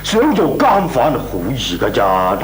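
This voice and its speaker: A male actor playing a shrewd, mocking company boss in a comic radio drama, with a Hong Kong accent, drawn-out interjections and feigned bafflement. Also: mid-range voice, wildly swooping pitch, slight background noise.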